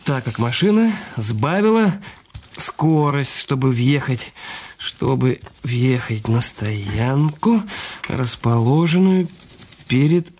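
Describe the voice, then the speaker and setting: An actor playing a bitter, cornered husband in a radio drama, evasive and grudgingly compliant, with halting delivery. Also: male voice, medium pitch, expressive, darkish tone. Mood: reluctant, dictating. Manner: halting, repeating phrases as he writes.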